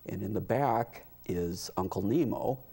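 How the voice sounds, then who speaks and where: An American man talking casually as an interview guest about community work, pausing often as he explains things. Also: lowish voice, vocal fry, mellow, breathy edge. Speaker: community theater representative, talk show guest.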